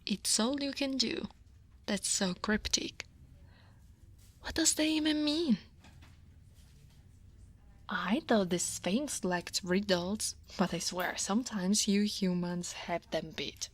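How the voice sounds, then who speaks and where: Woman playing a breathy, weary dragon coworker. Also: mid-range voice, slow, pauses often. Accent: Italian. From Italy. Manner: soft, tired, half-whispered. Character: weary, guarded female dragon office coworker. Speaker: ASMR roleplay performer. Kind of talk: whispered ASMR roleplay.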